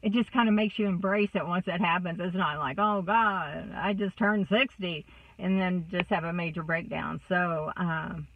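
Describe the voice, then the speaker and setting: A woman's voice: lowish voice, steady tone, normal speed, recorded from a distance. A woman of sixty with a Texas accent, chatting casually to a phone camera inside a car, rambling with many fillers. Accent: Texan. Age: 60s. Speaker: vlogger. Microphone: phone camera close up. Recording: dry, thin phone-like sound.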